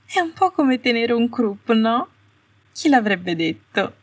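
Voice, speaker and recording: Lady doing a snooty shrill young witch for an audiobook, brittle and sarcastic, kinda roomy sound. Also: big pitch swings, slow, exaggerated voice. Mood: manic, sarcastic. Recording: roomy, slightly distant home recording.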